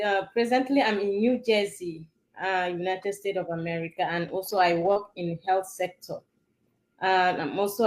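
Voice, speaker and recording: A woman's voice: mid-range voice, poor audio. A woman from Nigeria introducing herself on a live stream, hesitant, with fillers and restarts. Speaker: co-presenter.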